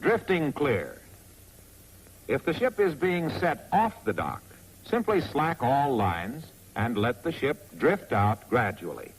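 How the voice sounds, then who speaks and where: A man with an American accent narrates a 1940s instructional film in a wry, clearly phrased, scripted manner on a vintage soundtrack. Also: mid-range voice, sing-song, slow, slight background noise, low-quality recording. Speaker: narrator of a military training film.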